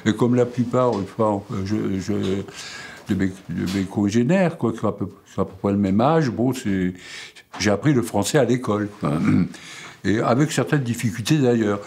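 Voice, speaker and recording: A man in his eighties from Alsace, whose first language is Alsatian, speaks haltingly about his life, with many fillers and repeated words. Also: on the low side, vocal fry, darkish tone, breathy edge. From Alsace, France. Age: 80s. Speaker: painter and sculptor interviewed. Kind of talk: hesitant reflective monologue.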